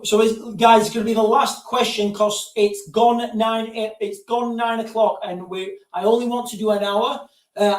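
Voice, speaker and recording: Male streamer, high voice, loose digressive chat, set back from the mic in a roomy space. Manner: digressive, keeps addressing viewers as guys. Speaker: live-stream host answering viewer questions. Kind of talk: casual rambling live-stream chat. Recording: roomy sound, distant from mic.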